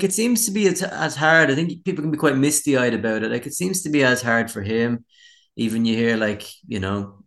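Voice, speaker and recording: A man's voice: fairly low pitch, fairly bright tone. An Irish man speaking as a musician in a casual interview, candid and reflective. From Ireland.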